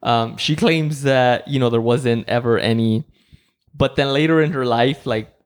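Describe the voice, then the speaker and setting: A man from Mexico hosting a podcast, chatting casually and rambling with many "like"s and animated quoted voices. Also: medium pitch, unhurried. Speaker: podcast host. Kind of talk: casual chat.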